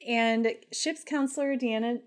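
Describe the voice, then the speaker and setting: A woman with a slightly high voice co-hosts a casual podcast. She is playful and teasing and laughs often as she recaps the plot. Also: fairly even intonation, a little slow. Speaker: podcast co-host. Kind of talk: casual chat and episode recap.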